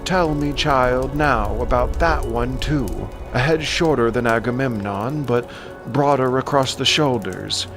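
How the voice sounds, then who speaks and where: Guy playing old king in an audio drama, marveling and curious, medium pitch, music under it. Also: a little slow, lightly acted. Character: aged king, curious and marveling, surveying armies.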